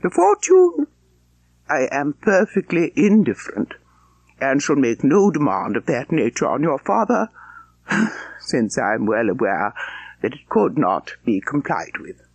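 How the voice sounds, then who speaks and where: Man playing smug clergyman suitor, audiobook character, pompous, obsequious, ponderous, very animated pitch, dry close sound. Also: unhurried, creaky, slightly rough, no room sound, exaggerated voice. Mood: pompous, self-satisfied. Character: pompous, self-satisfied clergyman suitor, smugly formal.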